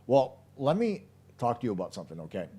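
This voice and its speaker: A man with a hoarse, slightly worn voice breaks down a hockey game for his live audience. He is blunt and opinionated, pressing his points hard and speaking right to his viewers. His voice sits in the middle range for a man. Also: mid-range voice, huge pitch swings, fast talker, warm tone. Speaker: hockey podcast host.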